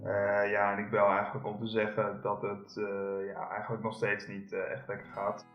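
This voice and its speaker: A man speaks hesitantly on a phone call, his low voice almost without melody. The recording is roomy and dull. Clear music plays behind him. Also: completely flat delivery, a little fast. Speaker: caller.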